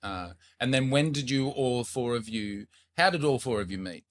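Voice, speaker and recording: A man's voice: mid-range voice, expressive, moderate pace, a little hiss or hum. A man hosting a casual podcast interview, playful and jokey, often breaking into laughter. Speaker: podcast host.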